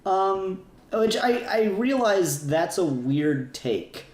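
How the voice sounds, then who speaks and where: A man's voice: high voice, very animated, takes it slowly, a little mellow, roomy sound. A man hosting a casual fan discussion podcast, talking in a rambling way and often correcting himself. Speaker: podcast host.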